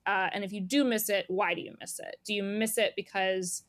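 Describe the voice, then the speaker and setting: A woman's voice: mid-range voice, fairly even intonation, a little fast, dry recording. Woman editor chatting in a dry room. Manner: conversational, illustrates points with imagined quotes. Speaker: magazine editor being interviewed. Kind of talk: casual explanatory conversation.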